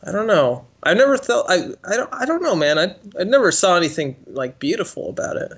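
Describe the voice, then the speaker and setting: A man's voice: sits high, very animated, fairly quick, breathy edge, muffled recording. A man co-hosting a film podcast in casual, joking conversation. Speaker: podcast co-host.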